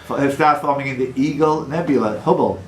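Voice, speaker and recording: Man, live quiz host, middle pitch, deliberate question reading, jokey asides, roomy echo, faint noise. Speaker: quizmaster. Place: indoor pub. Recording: echoey pub room with background noise.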